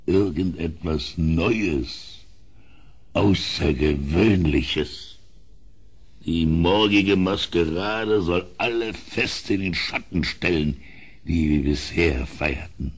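A man's voice: deep voice, slow pace, husky, exaggerated voice. An actor playing a cruel, drunken king in a German audio drama, domineering and mocking as he commands. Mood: menacing. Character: cruel, drunken, bullying king, given to loud mirth.

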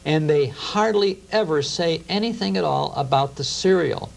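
A man's voice: a little high, moderate pace, creaky. A middle-aged American man talking conversationally as an interview guest, reminiscing in a studio. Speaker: guest, radio show host. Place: TV studio at a round table. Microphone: lapel. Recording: faint hum and hiss, old TV tape.

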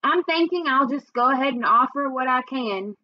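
A sad, folksy Southern woman from a children's book, as a woman reader puts her on. She sounds worried and wistful, with a highish voice. The sound is dry and a bit dull. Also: lively intonation, normal speed, no echo. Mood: upset, dejected.

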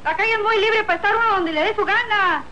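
A woman's voice: very high voice, fast, bright, forceful tone. A woman playing a feisty, sassy, gossipy young ranch woman in a radio serial. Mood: indignant. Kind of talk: old radio serial acting. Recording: faintly noisy old radio recording.

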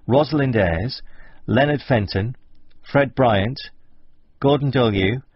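A man's voice: medium pitch, slow pace, slightly rough. A man reading scripted cast credits as a radio announcer. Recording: dull radio recording.

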